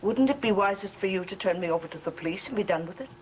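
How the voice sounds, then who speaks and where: A woman's voice: medium pitch, expressive, fast talker, darkish tone, lightly acted. An actress playing a proud, portentous fugitive woman of the occult in a gothic television soap, speaking formally. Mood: anxious, wary.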